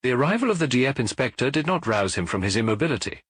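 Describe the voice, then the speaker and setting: A man's voice: mid-range voice, sing-song, quick pace, fairly bright tone, slightly rough, no room sound, studio quality. A man with a British accent reading a detective story as scripted audiobook narration.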